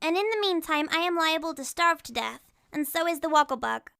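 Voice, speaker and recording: A man voicing a young boy, high-pitched, blunt and excitable, in a storybook reading. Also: fairly quick, creaky, heavily acted. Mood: complaining. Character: plucky boy, young, indignant.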